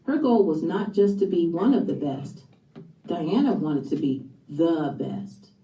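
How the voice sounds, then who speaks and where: A woman's voice: lowish voice, mellow, reverberant, recorded from a distance, rough recording. A woman reading a biography aloud as scripted narration.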